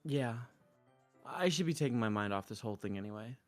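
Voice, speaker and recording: A man's voice: mid-range voice, animated, fairly quick, soft background music. A man streaming a game, reading a visual novel's narration and dialogue aloud into a close mic.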